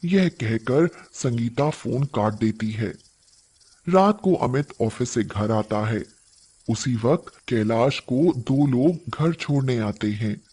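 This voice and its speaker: A man's voice: medium pitch, animated, slow pace, dark tone, breathy edge. A man narrating a scripted moral story as its storyteller.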